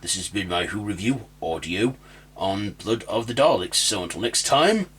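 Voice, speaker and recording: A man's voice: fairly low pitch, animated, normal speed, fairly bright tone, slight background noise. A man giving a casual, unscripted fan review as a channel host, rambling and repeating himself with enthusiasm.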